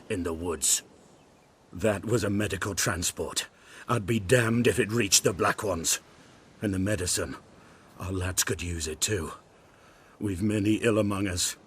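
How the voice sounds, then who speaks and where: An actor playing a proud, earnest partisan soldier in a fantasy video game, speaking in formal, emphatic phrases. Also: male voice, low voice, slightly rough, exaggerated voice. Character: proud male partisan soldier posing as merchant, earnest, defiant.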